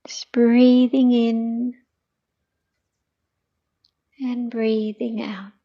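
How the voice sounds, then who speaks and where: A middle-aged woman leading a guided meditation in a gentle, soothing, hushed manner that turns breathy at times. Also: a little high, flat delivery, drawn-out words, lo-fi sound.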